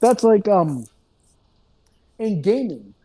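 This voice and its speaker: A man's voice: sits high, expressive, slow pace, mellow, husky. A man in a casual group discussion, talking in a loose, digressive way and often checking in with "you know what I mean".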